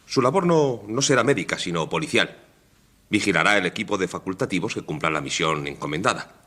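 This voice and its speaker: Husky, commanding official. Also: male voice, deep voice, animated, brisk, pushed and bright, full character voice. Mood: commanding, solemn. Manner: formal, commanding. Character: commanding male government chief, stern, solemn official. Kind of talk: radio drama acting.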